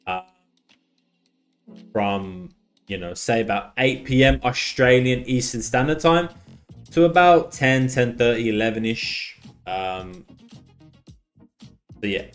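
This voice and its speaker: A man's voice: medium pitch, lively intonation, slow, pauses often, faint music behind. A man on a casual live stream, chatty, reading out chat messages and losing his voice. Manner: chatty, reads out chat messages, many restarts. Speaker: livestream trader.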